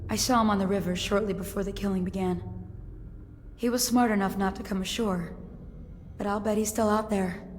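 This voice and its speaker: A woman acts a frightened, loyal serving girl in a game. Her mid-pitched voice is earnest and shaken, ringing with reverb over faint noise. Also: steady tone, fairly quick, long pauses, close to an everyday voice. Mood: shaken, sombre. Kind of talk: dramatic video game acting.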